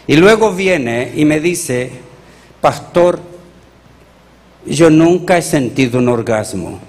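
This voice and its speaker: A Chilean man, a pastor, preaching a sermon with animated rhetorical questions to his congregation. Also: a little high, slow, long reverb tail.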